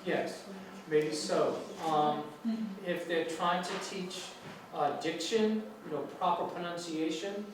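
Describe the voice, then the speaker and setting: A man's voice: high-pitched, fairly even intonation, takes it slowly, few pauses. A man with a New York accent giving an English lecture to students, reassuring and rambling with many restarts. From United States. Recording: echoey hall, distant mic, noisy.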